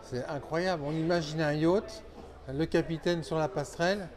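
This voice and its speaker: A man with a slightly high-pitched voice works as a show interviewer. He talks with open enthusiasm, tossing in exclamations and short reactions as he goes. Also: fairly even intonation, moderate pace, a little mellow.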